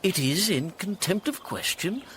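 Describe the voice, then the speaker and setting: Pompous deluded steward in radio shakespeare, savours every word, highish voice, really drawn out. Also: male voice, sing-song, measured pace, a bit bright, a little hiss or hum, full character voice. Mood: excited, conspiratorial. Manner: pompous, savouring, theatrical delivery. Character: pompous, self-deluded male steward lost in grandiose fantasies. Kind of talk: Shakespearean radio comedy acting.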